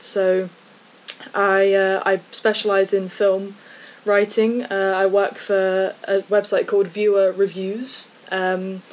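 A woman, a film journalist and podcast guest, who talks thoughtfully with many ums and likes. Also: mid-range voice. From English Midlands. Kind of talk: casual podcast chat.

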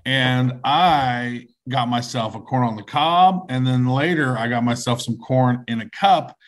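A man co-hosting a podcast, cracking deadpan, goofy jokes. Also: mid-range voice. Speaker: podcast co-host. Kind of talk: casual chat.

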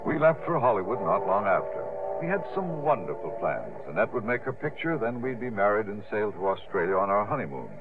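A patient, gentlemanly suitor telling his story in 1950s radio drama. His low voice moves expressively through measured, wry lines. The recording is thin and tinny, with music behind. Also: big pitch swings. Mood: reflective narrating. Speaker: narrator and actor.

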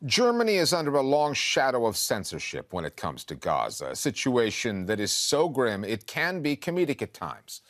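A man presenting a media-criticism news program in scripted narration, with a wry, pointed delivery. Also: mid-range voice.

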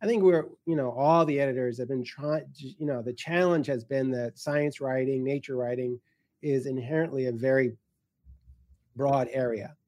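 A man speaking off the cuff in a panel discussion as a guest editor, haltingly and with many broken-off starts. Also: mid-range voice, a little mellow, no room sound. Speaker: guest editor of a science writing anthology.

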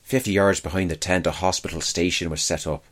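A man's voice: low-pitched, fairly even intonation, brisk. A man reading a first-person wartime diary aloud as scripted narration.

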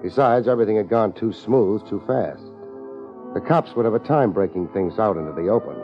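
An actor playing a laid-back, tough American private investigator, wry and deadpan, in 1950s radio drama style. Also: male voice, on the low side, brisk, close to an everyday voice. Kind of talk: 1950s radio detective drama acting and narration. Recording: muffled old radio recording with loud music.

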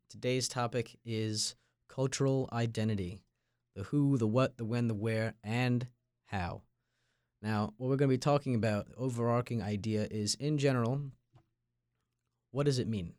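A young man from Long Island hosts a podcast in a medium-pitched voice. The sound is dry, with very little room. He moves between prepared introduction and casual questions. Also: normal speed, darkish tone, clear, resonant tone, no echo. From Long Island, New York. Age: late teens. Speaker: podcast host. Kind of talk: scripted intro and casual interview.

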